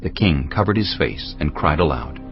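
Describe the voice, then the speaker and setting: A very deep male voice narrates dramatized scripture with lively swings in pitch. The recording is slightly dull, with music underneath. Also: moderate pace. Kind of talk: dramatized audio Bible narration. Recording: dull lo-fi audio.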